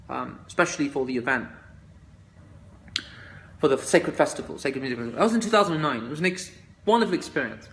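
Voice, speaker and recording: A man answering viewers' questions in a casual live chat, soft-spoken and hesitant, with many fillers and restarts. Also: fairly high pitch, a little hiss or hum. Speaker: musician answering fans in a live stream.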